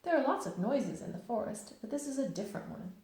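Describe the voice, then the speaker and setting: A woman voicing a mild, kindly, puzzled male toy bear in a children's storybook, in a gentle, simple way. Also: on the high side, very animated, quick pace, pitched above the performer's own voice. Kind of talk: children's storybook read-aloud. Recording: echoey room.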